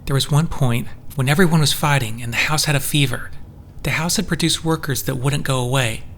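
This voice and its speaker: A man's voice: mid-range voice, fairly quick, fairly bright tone, a little hiss or hum, natural voice. An actor playing an earnest, well-meaning man who justifies himself in an audio drama. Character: Arlo, earnest reality-altering confessor, well-meaning.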